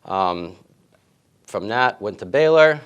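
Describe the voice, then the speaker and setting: A middle-aged man, a head tennis coach, answering interview questions in a relaxed way with many fillers. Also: on the low side, slow, clear, resonant tone. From grew up in Italy and Queens, New York.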